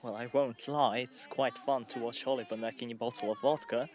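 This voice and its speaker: A man's voice: medium pitch, steady tone, a little fast, a little mellow, lightly acted. A man playing an eager, chatty cadet. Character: eager young male cadet.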